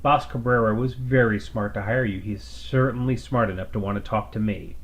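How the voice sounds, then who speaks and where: A man plays a sly, flirtatious woman in a space opera story. She flatters and coaxes in a drawling, suggestive way, always angling for advantage. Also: lowish voice, fairly quick, close to an everyday voice. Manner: drawling, coaxing innuendo.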